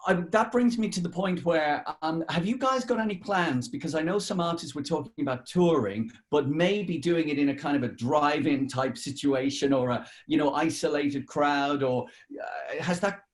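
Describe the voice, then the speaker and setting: A man's voice: fairly high pitch, normal speed. A British man interviewing in a conversational, slightly rambling way, often repeating words as he feels toward his question. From United Kingdom. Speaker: radio interviewer.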